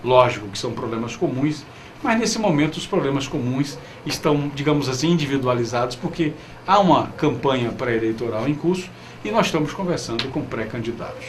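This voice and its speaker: A man's voice: mid-range voice, lively intonation, a little fast. A man with a Brazilian accent hosting an interview program, speaking spontaneously and courteously with frequent affirmations. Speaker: TV interview show host. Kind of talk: interview, spontaneous studio talk. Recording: clear background noise.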